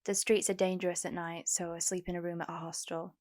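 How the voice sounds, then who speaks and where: Actress doing a young homeless girl in an audio play, lowish voice, plain-spoken, guarded but hopeful. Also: little pitch movement, a little fast, a little mellow, natural voice. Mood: reflective, candid. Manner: plain-spoken, candid, short replies. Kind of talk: first-person audio drama narration and dialogue.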